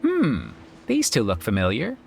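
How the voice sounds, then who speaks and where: A woman's voice: extremely low pitch, wildly swooping pitch, measured pace, vocal fry, heavily acted. A woman playing a breezy, teasing, outgoing theater performer in a video game. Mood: welcoming. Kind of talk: video game character acting. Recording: music behind the voice.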